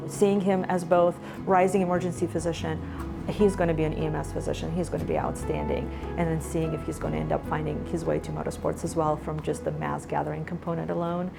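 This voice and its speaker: Gravelly low woman faculty mentor. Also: fast talker. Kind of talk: interview testimony. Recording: music bed underneath.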